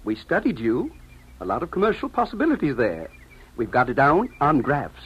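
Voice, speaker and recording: A man's voice: mid-range voice, big pitch swings, normal speed, husky. An actor playing a brash, slick salesman in an old-time radio drama, with breezy, boastful patter. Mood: boastful pitch. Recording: muffled vintage radio recording.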